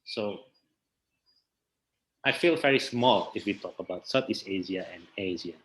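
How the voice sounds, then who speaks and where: A man with an Indonesian accent giving casual interview answers in halting English, often repeating words as he goes. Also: sits low, poor audio. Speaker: interview guest, theater researcher and actor.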